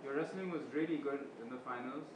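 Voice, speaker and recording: A man's voice: medium pitch, fast. Off-mic male interviewer, roomy and noisy. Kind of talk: sports interview questions. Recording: roomy, distant mic with background noise.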